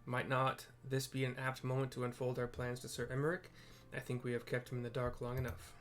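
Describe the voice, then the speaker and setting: A man's voice: medium pitch, completely flat delivery, a little fast, close to an everyday voice. A man voicing formal fantasy game characters as he reads quest dialogue aloud. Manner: formal, archaic wording read out.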